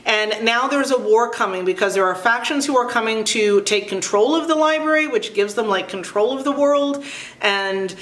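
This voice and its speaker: A woman's voice: fairly high pitch, normal speed, fairly bright tone, roomy sound. A middle-aged woman giving casual book recommendations in a chatty, enthusiastic, emphatic way, as a video host.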